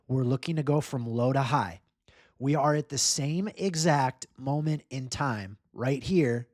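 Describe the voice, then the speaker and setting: A man's voice: mid-range voice, no room sound, studio quality. A man hosting casual market commentary, talking informally and upbeat, straight to his viewers. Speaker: host, crypto market analyst. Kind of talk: casual chart commentary to camera or screen share.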